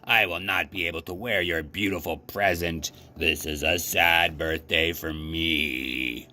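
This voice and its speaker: A man as a storybook toad, low voice, anxious, devoted, earnest, plaintive, slow delivery. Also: fairly even intonation, sharp tone, a little hiss or hum, exaggerated voice. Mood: plaintive, sad.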